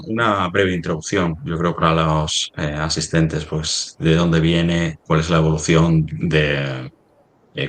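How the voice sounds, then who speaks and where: A man's voice: rumbling low, fairly bright tone. A man hosting a webinar in unscripted talk, hesitant and often searching for words. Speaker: webinar host and company representative. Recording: noisy webinar microphone.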